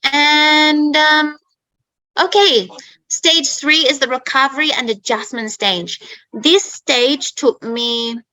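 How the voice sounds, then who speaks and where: Woman, bright voice, dry close sound, chatty, keeps checking in with "okay?". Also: sits high, slow pace, no echo, low-quality recording. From Australia. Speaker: speaker in an English-learning talk. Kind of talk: personal story told to learners.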